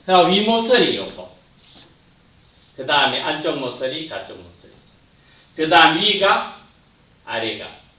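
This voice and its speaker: A man's voice: a little high, big pitch swings, measured pace, pauses often, dull audio. Roomy, distant male anatomy lecturer. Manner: points at slides, trails off at phrase ends. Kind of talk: classroom lecture.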